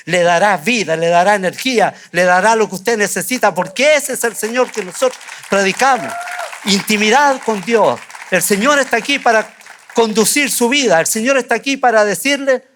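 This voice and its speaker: A pastor with a high, light voice delivers a fervent sermon in a church, repeating key phrases and pausing for emphasis. He drops softer and lower at times, then builds again. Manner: fervent, repetitive preaching with emphatic pauses. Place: church sanctuary.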